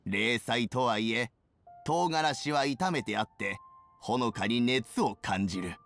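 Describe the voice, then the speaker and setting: A dignified old man judging food in an anime game. He talks slowly with lively rises and falls and an old-fashioned, appreciative manner. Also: medium pitch, faint music behind. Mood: impressed. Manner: measured, old-fashioned elder's speech. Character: dignified, appreciative elderly male food judge.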